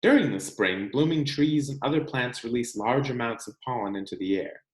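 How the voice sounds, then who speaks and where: Animated American man reading aloud, echoey room. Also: mid-range voice, normal speed. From California, United States. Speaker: read-aloud host, teacher reading to children. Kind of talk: children's picture book read-aloud with casual asides.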